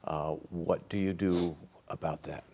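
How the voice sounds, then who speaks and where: An older man hosting a TV studio interview, asking measured, probing questions. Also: sits low, steady tone, a little mellow. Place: small TV studio set.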